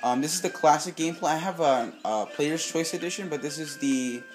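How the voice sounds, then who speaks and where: A man casually commenting while he plays a video game, slightly raspy and hesitant, with lots of ums, filmed off a TV at home. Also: fairly high pitch, brisk. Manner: rambling, hesitant with many ums. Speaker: gameplay video host. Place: home room with TV, filming screen. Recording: echoey room, game music behind.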